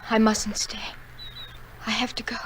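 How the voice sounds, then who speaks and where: High-voiced, tender young fisher girl. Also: level tone, unhurried, slight background noise. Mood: torn, sad. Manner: soft, earnest, emotional. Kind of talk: old-time radio drama acting and narration.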